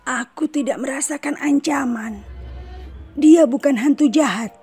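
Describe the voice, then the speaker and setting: A woman playing a solemn, focused psychic in an animated horror story. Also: high voice, measured pace, faint music behind. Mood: concentrating. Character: European-descended female psychic, solemn and focused.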